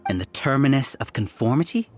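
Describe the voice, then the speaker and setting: Guy acting as smug calculating scholar villain, condescending, very expressive mid voice, video game w music behind. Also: medium pitch, natural voice. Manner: condescending, measured lecturing.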